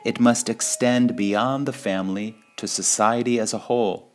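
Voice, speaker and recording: A man reading spiritual sayings in a measured, deliberate devotional style, pausing between phrases. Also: medium pitch, steady tone, soft background music. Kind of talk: slow devotional quote reading.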